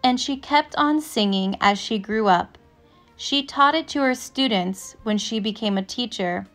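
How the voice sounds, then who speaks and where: A woman narrator reading a children's picture book aloud from a script, with measured, careful phrasing. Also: fairly high pitch, a bit bright. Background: instrumental music.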